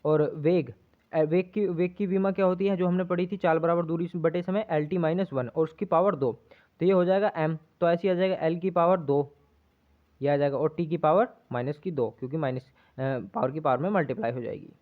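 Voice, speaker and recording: A man's voice: fairly high pitch, normal speed. A man giving an exam-prep lesson as a physics teacher, explaining step by step, repeating himself and checking in often. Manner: repetitive, checks in often with tag questions. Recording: muffled, narrow lo-fi sound.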